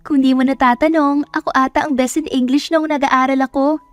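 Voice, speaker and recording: A woman's voice: high voice, flat delivery, brisk, dry recording, voice pushed up, natural voice. A woman voicing a boastful, silly young female friend in a comic moment of an audio drama, breezy and overconfident.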